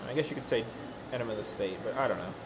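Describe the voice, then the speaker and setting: A man's voice: medium pitch, rapid-fire, very noisy. A man in his thirties chatting casually with his live stream while working at a crafting table, rambling and often correcting himself. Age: 30s. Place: workshop room with a worktable.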